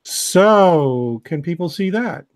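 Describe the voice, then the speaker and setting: An American man giving a relaxed, digressive science lecture on a video call, with many fillers and restarts. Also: sits high, slow. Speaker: host, biology lecturer.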